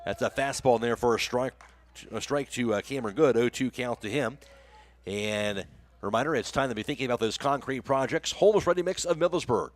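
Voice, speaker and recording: A man's voice: medium pitch, brisk. A man with an American accent doing live radio play-by-play commentary of a baseball game as the announcer.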